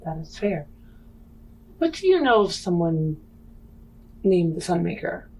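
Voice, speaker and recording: A woman's voice: on the low side, big pitch swings, unhurried, creaky, mellow, low-quality recording. A woman playing a tabletop game, asking short questions in character. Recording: background noise and ambience.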